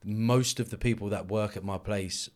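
A man hosting a casual podcast, who talks in a relaxed, agreeable way and asks short questions. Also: fairly low pitch, moderate pace. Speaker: podcast host.

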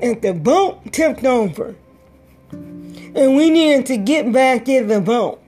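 A middle-aged woman, a life coach, gives a motivational pep talk in a raspy, breathy voice with a dry throat, pressing her points by repeating key words. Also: fairly high pitch, dark tone. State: dry throat after a stroke.

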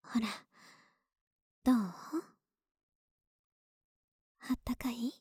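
A woman playing a clingy, sweet young neighbor in an ASMR voice drama, soft and breathy, often whispering, intimate and coaxing, close to the mic. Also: a little high, flat delivery, normal speed, no room sound. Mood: tender, sleepy. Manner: intimate, affectionate, hesitant and coaxing. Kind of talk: Japanese ASMR situation voice drama. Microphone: close, dry ASMR microphone.

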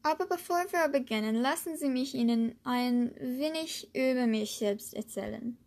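A woman from the United States, a native English speaker, hosting a podcast and slowly reading a lesson in German with hesitant fillers. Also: sits high, darkish tone, slight background noise. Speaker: podcast host, German learner. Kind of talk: scripted language lesson podcast.

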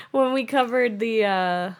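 A woman's voice: fairly high pitch, takes it slowly. Playful young woman co-hosting a podcast. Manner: playful, laughs often, teasing. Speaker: podcast co-host. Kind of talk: casual podcast chat, reading research notes.

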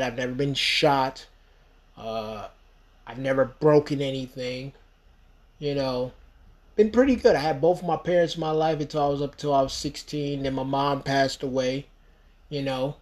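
A man in his thirties from the United States, talking alone on his podcast in a rambling, emphatic way, full of "you know" fillers. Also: medium pitch. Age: 30s. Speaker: podcast host. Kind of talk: solo podcast monologue.

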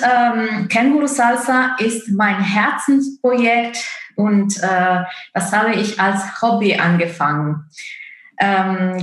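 Slow, hesitant woman with an Italian accent. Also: medium pitch, reverberant, low-quality recording. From Italy. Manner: hesitant, many fillers. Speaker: guest, dance course founder. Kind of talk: interview answers.

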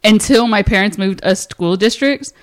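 A woman's voice: mid-range voice, moderate pace. A woman co-hosting a podcast, telling stories in casual chat with frequent giggles. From Texas. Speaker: podcast co-host.

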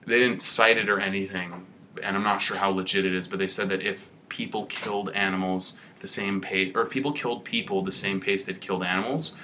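A young man hosting a casual podcast chat, telling rambling stories in a relaxed way. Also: low voice, fairly quick, slight background noise. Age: young adult.

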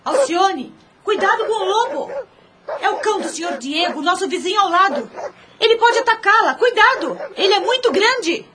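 A woman's voice: very high-pitched, big pitch swings, normal speed, noisy background. An actress playing an anxious, protective, scolding servant woman in a radio soap opera, urgent and agitated. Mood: alarmed.

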